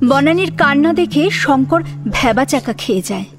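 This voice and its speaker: A woman's voice: sits high, lively intonation, moderate pace, over music. A woman narrator reading a scripted dramatic story.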